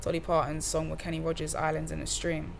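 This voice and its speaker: Young woman, lowish voice, chatty. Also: fast, vocal fry, slight background noise. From Cardiff, Wales. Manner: relaxed, slangy, many fillers and restarts. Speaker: musician guest. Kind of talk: casual interview chat.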